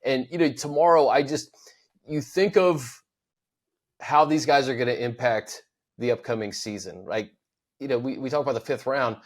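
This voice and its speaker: A man's voice: medium pitch, moderate pace. Regular-sounding guy hosting a football pod, laid back and chatty. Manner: easygoing, agreeable, wraps up the show. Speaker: podcast host. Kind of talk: casual sports talk podcast.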